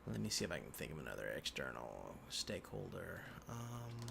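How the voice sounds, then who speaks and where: A man giving an informal tutorial as an instructor, thinking aloud in halting phrases with frequent restarts. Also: sits low, very animated. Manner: halting, hesitant phrasing with repeated word restarts. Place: indoors at a computer. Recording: clear background noise, keyboard typing.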